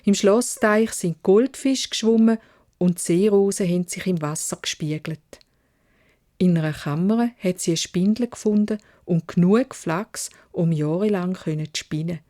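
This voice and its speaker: A woman storyteller with a Swiss German accent reads a children's fairy tale. Her voice is fairly low and mellow, and she takes her time, shaping phrases expressively. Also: normal speed.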